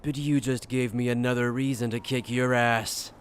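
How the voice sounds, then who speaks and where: Guy doing a fed-up defiant anime fighter, threatening, mid pitch, faint noise. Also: fairly even intonation, moderate pace, close to an everyday voice. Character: disgusted, defiant male fighter held back, threatening. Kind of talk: anime fan dub acting.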